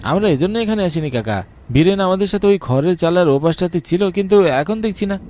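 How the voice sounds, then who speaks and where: Guy doing a panicky village kid, voice pushed up high, swoopy, music underneath. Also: animated, brisk, dark tone, slight background noise. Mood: worried. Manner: urgent, worried. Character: anxious village boy looking for his friend.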